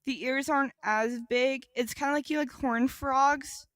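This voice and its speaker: A woman co-hosting a podcast in casual chat, describing things haltingly and saying "like" a lot. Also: sits high, fairly even intonation, fairly bright tone, no echo. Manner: halting, improvised descriptions with frequent "like". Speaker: podcast co-host.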